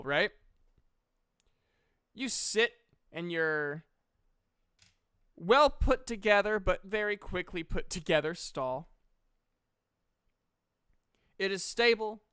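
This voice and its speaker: Bright, unhurried male game master. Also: sits high, clean tone. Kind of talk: narrating and running a tabletop game.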